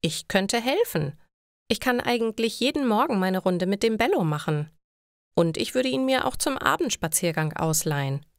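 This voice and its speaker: A woman's voice: mid-range voice, lively intonation, moderate pace, no room sound, clean studio sound, pitched above the performer's own voice, close to an everyday voice. A woman voicing a direct, helpful young woman in a story for language learners. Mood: eager. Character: young woman neighbour, direct, helpful, fond of crime shows. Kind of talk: German learner story dialogue.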